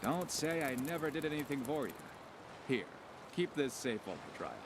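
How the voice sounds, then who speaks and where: A man's voice: mid-range voice, very animated, brisk, noisy background, close to an everyday voice. A man voicing a roguish, flirtatious pirate thief for a fantasy game companion, breezy and teasing.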